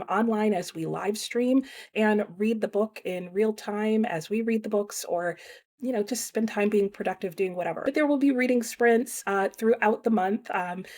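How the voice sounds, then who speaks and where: A young woman hosting her book channel, announcing a readalong in a chatty, casual way with lots of fillers and lively swings in pitch. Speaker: booktube host.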